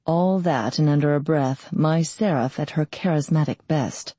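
A woman's voice: sits low, normal speed, dark tone, slightly rough, dry recording. A woman voicing a wry, wounded male bard in an audiobook, weary yet playful. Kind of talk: audiobook character voice.

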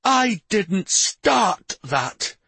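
A man voicing a hot-tempered young prince in an audiobook story, brooding, defensive and quick to snap. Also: on the high side, expressive, breathy edge, no room sound, voice pushed up, exaggerated voice. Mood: angry. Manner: snapping, argumentative.

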